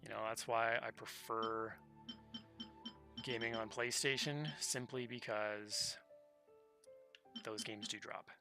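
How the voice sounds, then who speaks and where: A man's voice: on the low side, level tone, slow pace, pauses often. A man livestreaming a game, chatting casually in an easygoing, slangy, conversational way. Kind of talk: casual chat while gaming. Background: game music.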